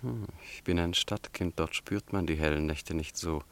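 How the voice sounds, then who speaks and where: An actor playing a polite, persuasive young student who serves as a bodyguard in a German radio drama, deferential and measured. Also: male voice, sits low. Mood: courteous. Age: young adult.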